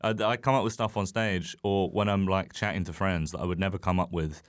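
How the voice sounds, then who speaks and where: A man's voice: low voice, steady tone, brisk, dry recording, studio quality. A man chatting casually as a comedian guest on a podcast, rambling, self-deprecating and sweary. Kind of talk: casual chat.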